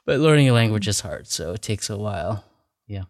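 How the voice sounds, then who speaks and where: Laid-back low-voiced man host. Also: animated, a little fast. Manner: laid-back, brief, says yeah a lot. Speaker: podcast host. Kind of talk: casual interview.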